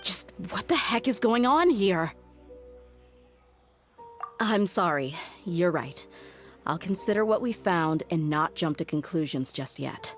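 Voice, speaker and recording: A tough young mercenary with a notably low voice. She reasons through clues aloud, steady, loyal and deliberate. Soft game music sits under her lines. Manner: thoughtful, reasons things out aloud. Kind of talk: game cutscene voice acting.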